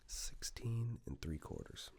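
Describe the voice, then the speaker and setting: A man doing a soft-spoken ASMR tailor roleplay, breathy and whispered, with an unhurried, reassuring, slightly hesitant way of talking. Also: a little hiss or hum. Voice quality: soft, breathy whisper mixed with low creaky murmur. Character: attentive male tailor, gently flattering and chatty. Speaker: ASMR performer playing a tailor.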